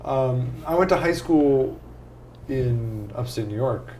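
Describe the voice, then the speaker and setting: A man's voice: mid-range voice, lively intonation, slow pace, warm tone, a little hiss or hum, low-quality recording. A man from California with a mild Californian accent, chatting casually in a rambling, tentative way. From California, United States. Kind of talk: casual chat.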